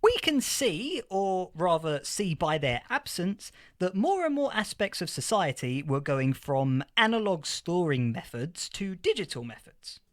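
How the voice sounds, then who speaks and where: A man playing an eager, flustered history professor in a fiction podcast interview, stammering and repeating words excitedly. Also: high voice, measured pace, few pauses, no room sound, clean studio sound. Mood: earnest, lecturing. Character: eager, flustered male history professor of a far-future age. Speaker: interviewed academic expert.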